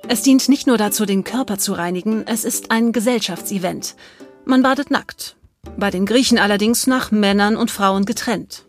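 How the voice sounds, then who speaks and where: A woman's voice: medium pitch, normal speed, soft background music. A woman reading scripted documentary narration.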